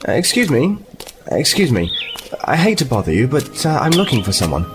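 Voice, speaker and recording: An actor playing a polite, earnest and somewhat halting envoy from a space fleet in a science fiction audio drama. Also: male voice, medium pitch, huge pitch swings, music in the background. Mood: nervous, polite. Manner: apologetic, halting, then insistent. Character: polite, earnest, hesitant Starfleet envoy on assignment.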